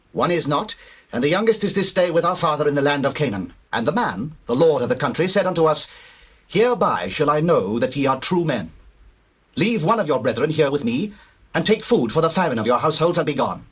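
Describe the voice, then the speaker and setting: Earnest bible brother, highish husky voice, formal and theatrical. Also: male voice, sing-song, brisk, a bit bright, full character voice. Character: earnest brother reporting to his father. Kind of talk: dramatized scripture, theatrical acting.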